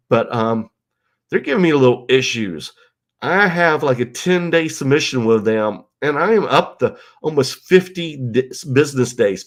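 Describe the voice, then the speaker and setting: Brief: male host, nasal and stuffed up from a cold, loose rambling chatter, home bedroom stream. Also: on the high side. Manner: rambling, loose, full of ums and you-knows. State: stuffed-up nose and congested from illness. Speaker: livestream host. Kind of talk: casual live-stream chat reading viewer comments.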